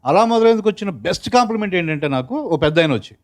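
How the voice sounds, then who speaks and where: A man chatting casually in an interview, telling stories in an animated way and acting out quotes. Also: high-pitched, rapid-fire. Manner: animated storytelling, acts out quotes. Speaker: film lyricist and writer.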